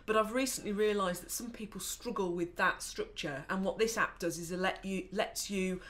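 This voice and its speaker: A woman's voice: mid-range voice, moderate pace, a bit bright. A woman co-hosting an app review show, explaining things in a casual, conversational way.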